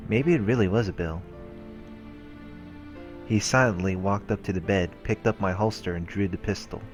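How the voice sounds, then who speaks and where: A man with a very low voice reads a visual novel aloud for a let's play, slipping between narration and offhand comments. Faint music plays behind him. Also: moderate pace. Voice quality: low-pitched. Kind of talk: read-aloud narration with casual asides. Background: game music.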